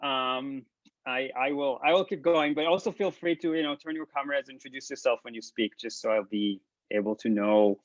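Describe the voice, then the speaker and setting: A man from Israel with an Israeli accent, giving a hesitant, halting talk full of restarts on a video call. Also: medium pitch, no room sound. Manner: halting, many restarts and ums. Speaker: presenter. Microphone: laptop mic on video call. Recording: dull lo-fi video call audio.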